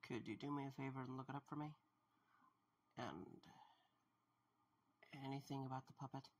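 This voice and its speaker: A man acting in an audio drama as a subdued, empathetic man who speaks haltingly. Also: medium pitch, steady tone, close to an everyday voice. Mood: serious, probing. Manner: hesitant, halting delivery. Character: Mike, subdued, empathetic man facing haunted animatronics.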